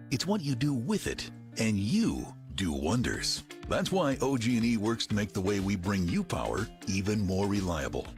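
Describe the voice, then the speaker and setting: American guy reading a company ad, fairly low voice, lots of inflection, music bed. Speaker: commercial voice-over narrator. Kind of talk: scripted corporate ad narration.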